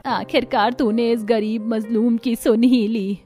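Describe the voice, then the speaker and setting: A woman's voice: fairly high pitch, steady tone, a little slow, mellow, faint music behind, close to an everyday voice. Woman acting earnest cartoon household woman. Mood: grateful, earnest. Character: women of cartoon households, earnest mothers, in-laws and neighbours. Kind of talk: Hindi cartoon story acting.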